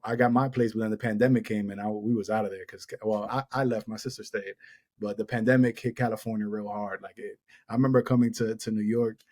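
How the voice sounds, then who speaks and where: A man's voice: lowish voice, fairly even intonation, fast, a little mellow. A man in his thirties hosting a podcast, telling stories in a casual, rambling way with lots of "like" and restarts. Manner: storytelling, many restarts and "like". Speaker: podcast host. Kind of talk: casual chat.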